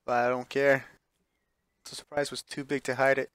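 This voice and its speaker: A man's voice: medium pitch, little pitch movement, normal speed, fairly bright tone, clean tone, dry recording, studio quality. A man streaming a visual novel, reading it aloud with offhand reactions and correcting himself when he stumbles over words. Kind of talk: live playthrough read-aloud with offhand reactions.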